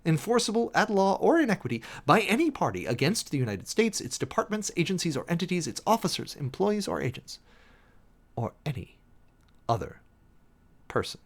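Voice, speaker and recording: A man giving a dramatic reading and close commentary in a formal, deliberate, sermon-like manner with emphatic pauses. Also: high-pitched, huge pitch swings. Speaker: preacher and commentator hosting a show.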